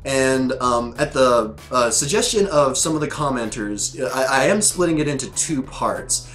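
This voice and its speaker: A man's voice: medium pitch, expressive, unhurried, fairly bright tone, soft background music. A man hosting his own show, chatting loosely with lots of fillers and restarts, his voice scratchy from a sore throat. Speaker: host reviewing episodes. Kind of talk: casual rambling commentary to camera.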